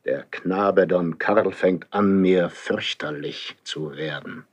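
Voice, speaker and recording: A man's voice: low voice, slow. An actor playing a jealous, imperious older king, cold and commanding, in a classical radio drama. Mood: proud, brooding. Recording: dull 1970s radio recording.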